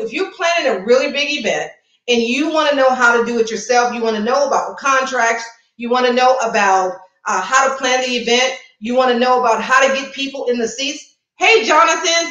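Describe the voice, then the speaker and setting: Woman livestream host, chatty and playful, high voice, roomy echo. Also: a bit bright. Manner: chatty, playful, addresses viewers directly. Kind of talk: casual livestream chat with promotions.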